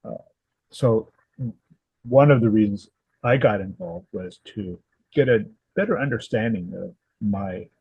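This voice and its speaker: A man in his seventies from Southern California, answering interview questions in a thoughtful, halting way with many fillers and restarts. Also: fairly low pitch, animated, husky, no room sound, dull audio. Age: 70s. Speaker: guest, historical society president.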